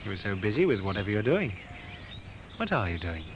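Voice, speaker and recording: An actor playing a pushy, insinuating soldier in an old radio drama, teasing and insistent. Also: male voice, fairly low pitch, big pitch swings, fairly quick. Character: pushy, insinuating soldier pursuing a lone woman. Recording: muffled, noisy old radio recording.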